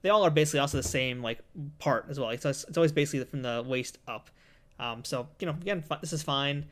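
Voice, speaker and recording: A man's voice: mid-range voice, fast talker, fairly bright tone. A man in his thirties co-hosting a collectible review in a studio, chatty and jokey as he explains details at a table. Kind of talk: casual review chat. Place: indoor studio set at a table.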